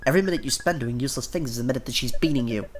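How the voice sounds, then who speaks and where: A man's voice: medium pitch, very fast, slight background noise, lightly acted. A man voicing a strict, suspicious middle-aged mother who lectures and scolds, in a visual novel game. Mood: stern. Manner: stern, accusing, lecturing.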